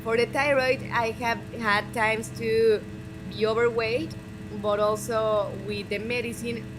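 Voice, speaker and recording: A woman's voice: medium pitch, noisy background. A woman who is a native Spanish speaker, hosting a game live stream and chatting encouragingly with her viewers. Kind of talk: live stream chat, reading comments aloud.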